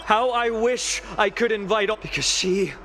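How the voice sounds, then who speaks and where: A man's voice: sits high, moderate pace, pushed and bright, slight background noise. An actor voicing an earnest, humble young man in a game, a former student who speaks expressively and sighs often. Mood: impassioned. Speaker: student giving a public speech. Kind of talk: video game voice acting.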